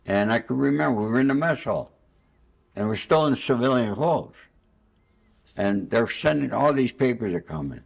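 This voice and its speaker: A man's voice: mid-range voice, expressive, moderate pace, creaky. An older man hosting a spontaneous, casual interview in a rambling, anecdotal and somewhat boastful way. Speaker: interviewer and host.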